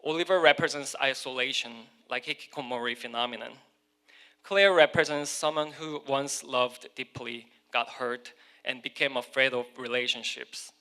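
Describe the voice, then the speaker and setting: Korean-accented male keynote speaker, bright voice, reading his speech with the odd slip. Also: mid-range voice, moderate pace, clear, resonant tone. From South Korea. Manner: reads a prepared text with small slips and self-corrections.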